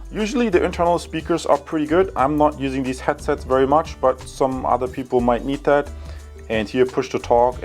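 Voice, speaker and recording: A man from Germany with a German accent, presenting a casual product review in an informal, chatty way. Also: mid-range voice. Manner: informal, chatty, addresses viewers as guys. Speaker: product reviewer and channel host.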